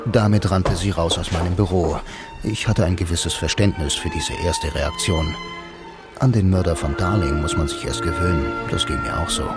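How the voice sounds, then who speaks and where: A man's voice: low voice, moderate pace. An actor playing a sardonic blind bank employee who narrates his story in a radio play, dryly and laconically. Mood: deadpan, brooding. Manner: dry, deadpan, laconic. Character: sardonic blind male bank copywriter, a lonely self-styled noir hero. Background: music.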